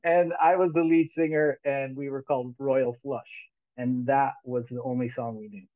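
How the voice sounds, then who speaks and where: A man's voice: medium pitch, fairly even intonation, moderate pace. A man in his sixties, a guest musician talking casually on a video call through headphones, rambling, with many restarts and frequent laughs. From United States. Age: 60s. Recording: tinny phone-like line.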